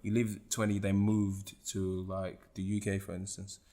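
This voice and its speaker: Low-voiced hesitant podcast co-host man. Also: completely flat delivery, measured pace, dark tone. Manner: hesitant, many fillers, backchannels a lot. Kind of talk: casual chat.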